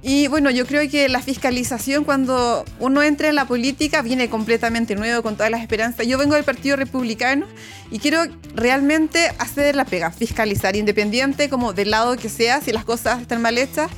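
High-voiced Chilean woman in political interview. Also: fairly quick, faint music behind. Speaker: political candidate guest. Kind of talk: casual campaign interview.

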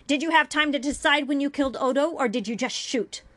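A woman's voice: medium pitch, normal speed, dry recording, lightly acted. A woman voicing a cruel female alien interrogator in a dramatic story reading, accusing and full of contempt. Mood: furious yelling.

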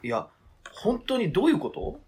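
Man as a baffled, husky-voiced defensive adult. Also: high voice, wildly swooping pitch, normal speed, a little mellow, heavily acted. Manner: hesitant, informal, groping for words. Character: baffled, defensive adult, casually protesting and fumbling for words. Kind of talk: radio drama script reading.

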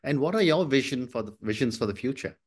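A man's voice: mid-range voice, expressive, fast, breathy edge. Polite man hosting a podcast. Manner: polite, formal introductions, many soft acknowledgments. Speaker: podcast host. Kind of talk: interview.

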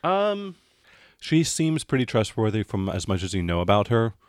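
Lively game master, close dry sound. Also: male voice, fairly low pitch, moderate pace, clean tone, no room sound. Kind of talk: casual tabletop game narration.

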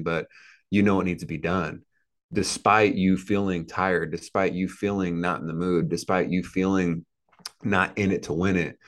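Deep-voiced motivational man in his thirties. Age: 30s. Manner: earnest, emphatic, repetitive phrasing with rhetorical tags. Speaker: podcast guest, entrepreneur. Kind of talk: motivational interview talk.

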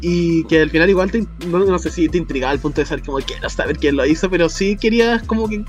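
A man's voice: fairly high pitch, quick pace, soft background music. A man with a Chilean accent, co-hosting a podcast in casual chat, chatty and laughing often as he drops slang. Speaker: podcast co-host.

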